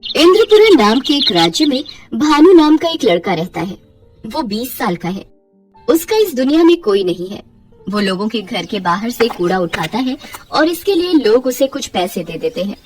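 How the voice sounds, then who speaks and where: A woman's voice: a little high, sing-song, moderate pace, slightly rough, faint music behind. A woman telling a moral bedtime story in scripted narration.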